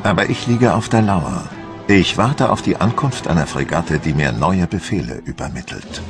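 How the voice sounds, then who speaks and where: A low, weighty male voice as a proud, watchful admiral from the Napoleonic wars. He recounts his campaign in measured, formal phrases, like a diary in a radio documentary. Music plays clearly behind him. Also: lively intonation. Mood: wistful, watchful. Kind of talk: first-person dramatised documentary narration.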